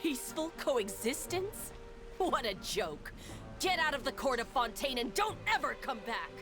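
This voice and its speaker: High, scornful female citizen. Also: very animated, unhurried, music in the background. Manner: contemptuous. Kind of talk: video game voice acting.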